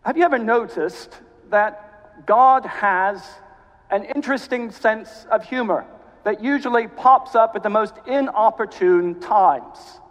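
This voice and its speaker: Genial preacher, mid-Atlantic accent, church reverb. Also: male voice, high voice, a bit bright. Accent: mid-Atlantic, American Southern with British. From South Carolina, USA. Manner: genial, dry humor, measured. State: jet lagged. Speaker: cathedral canon preaching as guest. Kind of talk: sermon. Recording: dull sound, long reverb of a church.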